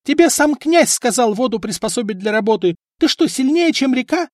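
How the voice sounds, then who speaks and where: A man voicing a sharp-tongued village wife who yells and scolds her husband in a storybook reading. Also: high voice, very animated, moderate pace, no room sound, clean studio sound, voice pushed up. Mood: shrieking scolding. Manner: shrieking, scolding. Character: shrill scolding village wife, middle-aged.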